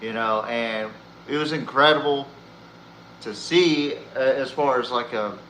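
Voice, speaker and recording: A man's voice: medium pitch, a little slow, fairly bright tone. A man hosting a sports podcast, giving blunt, profane, opinionated commentary like an exasperated fan. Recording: roomy echo, faint background noise.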